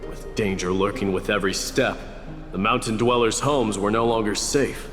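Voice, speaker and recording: A man playing a grave, proud warrior who tells an old legend in a measured, formal way for a video game. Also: fairly low pitch, lightly acted. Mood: solemn. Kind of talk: dramatic video game storytelling. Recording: reverberant hall, music behind.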